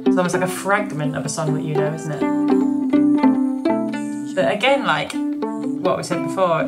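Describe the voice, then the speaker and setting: A woman in her thirties, a folk musician, chatting in a lively, laughing way about her album on a video call from home. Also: lowish voice, normal speed, loud music behind the voice. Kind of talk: casual chat at an album listening session. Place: living room at home. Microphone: webcam on a video call, wearing headphones. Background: album playing loudly.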